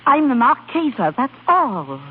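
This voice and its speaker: An actress playing a vain, worldly older marchesa in 1930s radio drama style, arch, grand and coquettish. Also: female voice, sits high, big pitch swings, a little slow, breathy edge, narrow, tinny audio, heavily acted. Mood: arch, teasing. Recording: thin, noisy 1930s radio recording.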